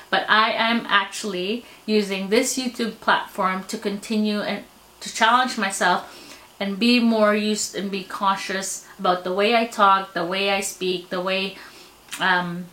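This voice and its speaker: A woman's voice: mid-range voice, a bit bright. A woman from the Philippines with a Filipino accent, talking candidly and reflectively in a personal vlog, a little self-deprecating. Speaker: vlogger. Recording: echoey room.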